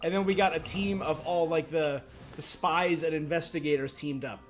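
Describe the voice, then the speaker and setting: High-voiced man casually commentating a game. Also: steady tone, normal speed, faint music behind. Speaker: game livestreamer.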